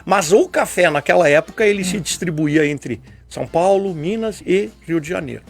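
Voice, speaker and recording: A husky older man from Brazil. His fairly high voice rises and falls a great deal as he lectures on a radio show. Faint music plays behind him. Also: expressive, normal speed. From southern Brazil. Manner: lecturing, with frequent tag questions. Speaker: guest historian. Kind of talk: explanatory radio conversation.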